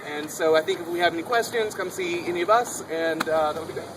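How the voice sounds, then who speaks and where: A man making brief announcements to an outdoor protest gathering in a brisk, matter-of-fact way. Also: fairly high pitch. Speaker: meeting facilitator making announcements. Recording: windy, noisy outdoor recording.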